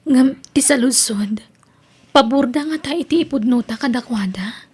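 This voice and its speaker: A woman's voice: sits high, normal speed, darkish tone, husky. A woman playing an earnest young daughter in love, pleading, in an Ilocano family drama. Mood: anxious. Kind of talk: Ilocano family radio-style drama acting.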